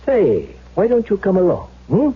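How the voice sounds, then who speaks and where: An actor playing a brash, chummy and self-important young German political recruiter in a 1970s radio drama. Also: male voice, high-pitched, wildly swooping pitch, moderate pace, husky, heavily acted. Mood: proud, eager. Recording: muffled, hissy 1970s radio recording.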